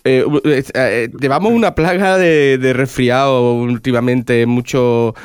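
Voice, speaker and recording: A man's voice: mid-range voice, a little slow, clean tone, dry recording, studio quality. A man hosting a radio book program, his voice nasal and congested from a cold, talking in a hesitant way with many fillers and restarts. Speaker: radio book show host. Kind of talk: casual radio interview.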